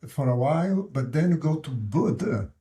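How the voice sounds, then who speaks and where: A man interviewing a guest in a very dark, mellow voice, pitched a little high for a man. He speaks in short, halting prompts with plenty of fillers, pausing to find his words. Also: lively intonation. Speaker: host.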